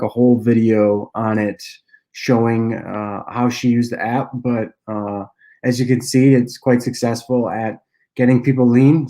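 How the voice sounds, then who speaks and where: A young man with a nasal voice from a cold, casually answering viewer questions on a livestream and often clearing his throat. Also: medium pitch. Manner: relaxed, loose delivery with frequent uhs and throat clearing. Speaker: app cofounder and dietitian hosting a Q&A livestream.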